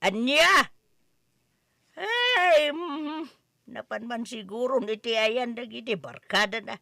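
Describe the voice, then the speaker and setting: An excitable, scolding village woman in an Ilocano comedy drama, played by an actress. Her high voice is bright and forceful, full of exclamations. The sound is dry and close. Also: slow, gravelly, no room sound, heavily acted. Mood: agitated, scolding.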